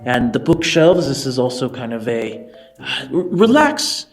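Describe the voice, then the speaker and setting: A man's voice: medium pitch, very animated, faint music behind. Man playing a hesitant, evasive orphanage administrator woman. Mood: businesslike. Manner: halting, hesitant, hedging. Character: flustered, evasive female orphanage administrator giving a tour.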